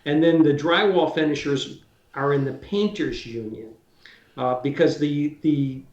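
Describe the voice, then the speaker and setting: A man's voice: a little high, echoey room, dull audio. A man in his sixties, a drywall finisher guest, chatting casually and animatedly, often repeating words. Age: 60s. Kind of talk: casual interview chat.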